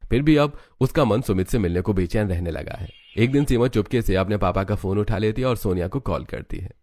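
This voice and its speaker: Low-pitched male storyteller. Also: fairly quick, darkish tone. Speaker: story narrator. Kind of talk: scripted Hindi moral story narration.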